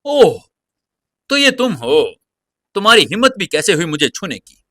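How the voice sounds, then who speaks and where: A man voicing a hot-tempered, haughty young policewoman with a sharp, accusing manner in an audio drama. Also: high-pitched, huge pitch swings, measured pace, pitched above the performer's own voice, full character voice. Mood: angry. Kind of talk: audio serial character dialogue.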